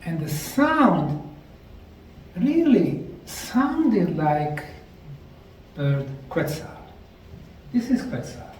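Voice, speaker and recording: A man with a Bosnian accent giving a deliberate, emphatic lecture to a live audience. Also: on the high side, sing-song, darkish tone. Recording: echoey room, mic far off, faint noise.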